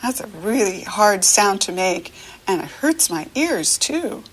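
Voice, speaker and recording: American woman, children's read-aloud teacher. Unhurried, very lively pitch, encouraging and full of questions. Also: breathy edge, rough recording. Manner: encouraging, asks questions and leaves room to answer; animated, sing-song child-directed talk. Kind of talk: read-aloud picture-book lesson for young children.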